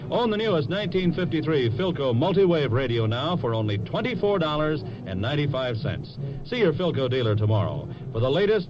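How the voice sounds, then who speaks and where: An American man announcing on 1950s sports radio, with measured, formal phrasing. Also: medium pitch. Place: outdoor football stadium. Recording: muffled, very noisy 1950s radio recording.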